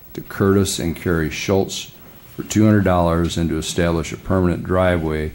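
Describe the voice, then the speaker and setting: Deep, flat-voiced older councilman reading. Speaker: city council member. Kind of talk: reading motions aloud. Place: council chamber. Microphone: desk microphone.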